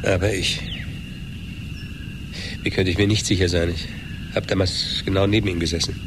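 An actor playing an embittered former chess champion, intense and resentful, in a radio play. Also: male voice, low-pitched, fairly even intonation, moderate pace, natural voice. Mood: bitter. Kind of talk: 1980s German radio play acting. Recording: noisy radio play recording, background ambience.

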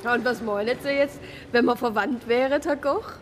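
An actress with a Swabian accent playing an earnest, modest deaconess in a radio drama, speaking in a homely way. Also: female voice, a little high, moderate pace, close to an everyday voice. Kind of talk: radio drama dialogue and narration. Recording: loud music under the voice.

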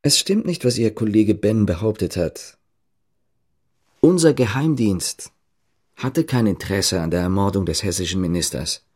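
An actor playing a resolute, guarded man on the run in a German radio drama, speaking in a measured way. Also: lowish voice, lively intonation, darkish tone.

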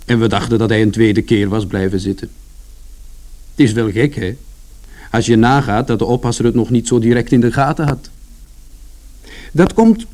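Man playing mellow, chatty storytelling son. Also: medium pitch, close to an everyday voice. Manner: colloquial, rambling, matter-of-fact. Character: chatty, wry working-class son recounting misadventures. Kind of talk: radio play first-person narration.

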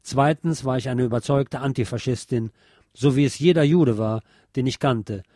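A man's voice: medium pitch, moderate pace, mellow, no echo, studio quality. A man reading a scripted history documentary as narrator. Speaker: narrator of a history radio series.

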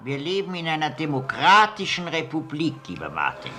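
An actor playing a complacent, pedantic retired clerk and party official in a radio play. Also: male voice, a little high, wildly swooping pitch, normal speed, sharp tone, soft background music, full character voice. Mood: genial, placid. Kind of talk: German-language radio drama acting.

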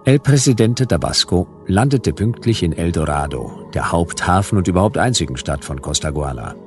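An actor playing a world-weary private detective, narrating a sci-fi radio play in a laconic, ironic, hard-boiled style. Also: male voice, sits low, animated, moderate pace, soft background music.

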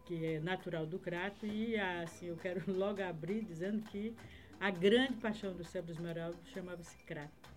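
Woman from acre rambling thru old memories, lowish voice, soft music behind. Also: normal speed. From Acre, Brazil. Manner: rambling, many fillers and trailing tags. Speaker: guest. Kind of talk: casual conversation, reminiscing.

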